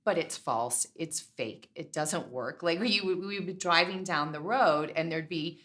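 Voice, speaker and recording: A woman's voice: low-pitched, a little slow, a bit bright. A middle-aged woman hosting a podcast, chatty and effusive with compliments for her guest. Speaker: podcast host. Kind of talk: podcast intro and interview.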